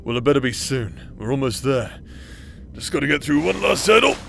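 A man playing a foul-mouthed, prickly injured bastard warrior in a roleplay audio drama, gruff and sarcastic. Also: medium pitch, animated, fairly quick, a little hiss or hum. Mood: grumpy.

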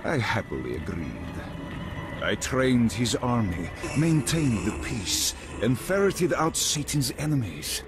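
An actor playing a proud, menacing undead warlord in a video game, speaking in a formal, theatrical way. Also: male voice, medium pitch, sing-song, slow pace, music in the background. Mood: brooding, proud. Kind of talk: video game villain monologue.

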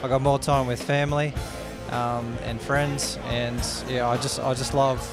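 A young man in his twenties speaks into a handheld mic at a mid pitch. He sounds enthusiastic but unhurried, with plenty of ums. Loud music plays behind. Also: flat delivery. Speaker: small business owner. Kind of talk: vox pop interview answer. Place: indoor function room. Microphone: handheld interviewer mic. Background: loud background music.

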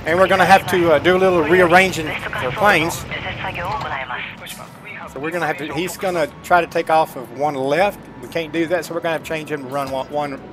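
A man's voice: fairly high pitch, fairly even intonation, brisk. A middle-aged man giving casual, folksy gameplay commentary, chatting informally to his viewers. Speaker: gaming streamer. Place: indoors at a computer desk, webcam.

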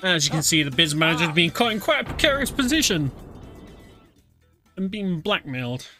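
A man's voice: a little high, sing-song, normal speed, bright, forceful tone. A British man giving casual, chatty commentary while playing a game, explaining as he goes with many fillers. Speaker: game streamer. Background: game music.

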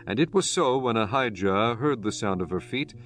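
Male Bible narrator, lowish pitch, solemn scripted read, measured phrasing, music bed underneath. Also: lively intonation, a bit bright.

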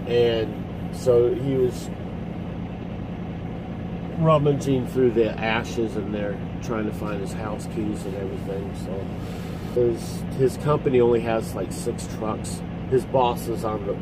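A middle-aged man, a truck driver, talking casually to his phone camera from the cab of his parked truck, rambling and halting as he explains. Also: fairly low pitch. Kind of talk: casual vlog commentary. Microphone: phone camera at arm's length. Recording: phone recording in cab with engine noise.

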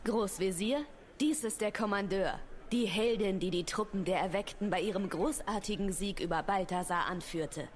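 A woman's voice: mid-range voice, normal speed, slight background noise, lightly acted. An actress voicing a gracious woman who leads a city council in a video game, formal and courteous.

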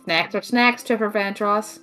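A woman's voice: mid-range voice, measured pace, pushed and bright, faint music behind. A woman playing a dry, affectionately sarcastic young homebody, teasing her best friend in an audio drama. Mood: dry, fond. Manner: wry, teasing.

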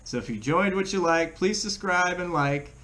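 A middle-aged American man giving a casual how-to demonstration in a plain-spoken, halting way, recorded indoors by a camera across a table. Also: high-pitched, expressive, pushed and bright. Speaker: fishing channel host. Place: small room at home, indoors. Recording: clear background room noise.

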